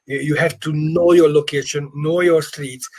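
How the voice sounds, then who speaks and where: A man's voice: on the high side, steady tone, normal speed. A man who speaks English as a second language, coaching informally in an emphatic, encouraging way and often repeating phrases. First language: not English. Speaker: property investment coach. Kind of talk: informal live training session.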